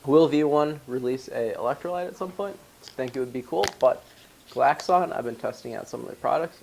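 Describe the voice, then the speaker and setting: A man's voice: mid-range voice, fairly quick, gravelly, a little mellow, a little hiss or hum, poor audio. A congested young man, slightly losing his voice, casually walking through the gear in his gym bag. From Wisconsin, USA. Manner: chatty, explains ingredient details. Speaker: powerlifting vlogger. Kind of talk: casual product walkthrough.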